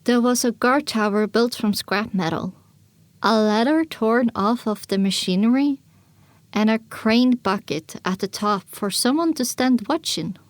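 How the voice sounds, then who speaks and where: A woman narrates a scripted story in the first person. Her voice sits a little high, and the sound is dry, with no room around it. The reading is dramatic, in audiobook style. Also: measured pace, dry recording.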